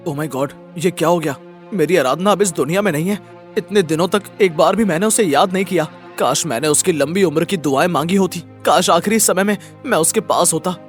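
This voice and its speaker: A man's voice: on the high side, a little fast, faint music behind, close to an everyday voice. A man voicing a self-absorbed young husband in a dramatic, emphatic style for an audio story. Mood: grieving, remorseful. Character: selfish young husband, evasive, later remorseful and melodramatic. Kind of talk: audio story melodrama acting.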